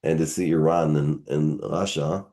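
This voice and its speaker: Deep-voiced man with an Israeli accent. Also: fairly even intonation, dark tone. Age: middle-aged. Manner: measured, explanatory, with halting fillers. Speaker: guest, science fiction writer. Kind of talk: panel discussion.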